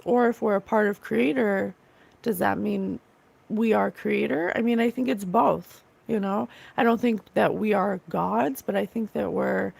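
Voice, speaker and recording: A woman hosting a psychic livestream, chatting casually and reading out comments, her voice slightly rough and raw this morning, earnest and gushing about divine love. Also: mid-range voice, a little mellow. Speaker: psychic medium livestream host.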